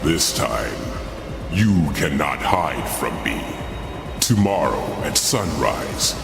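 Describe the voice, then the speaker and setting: An actor playing Death in a video game, a menacing soul-claiming reaper who makes slow, ominous pronouncements. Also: male voice, rumbling low, very animated, gravelly, full character voice. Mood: gloating menace. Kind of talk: dramatic video game villain acting. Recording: loud music behind.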